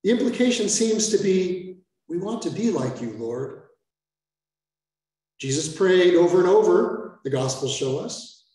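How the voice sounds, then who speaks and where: An older American priest giving an earnest sermon that explains the text step by step, with self-aware asides. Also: male voice, sits high, lively intonation, a little slow, mellow. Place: large church sanctuary. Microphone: far camera. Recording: echoey church from far off.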